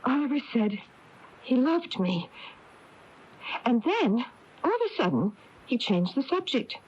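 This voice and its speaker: A woman's voice: on the high side, sing-song, measured pace, darkish tone, breathy edge, exaggerated voice. An actress playing an uneasy small-town woman recounting a strange visit in a 1980s radio drama. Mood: anxious, wondering. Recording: dull lo-fi taped radio broadcast.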